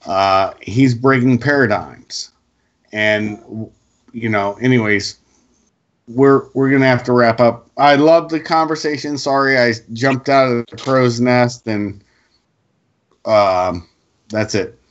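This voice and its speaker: Slow-paced man on a lo-fi call. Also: medium pitch. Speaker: podcast participant. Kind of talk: casual chat.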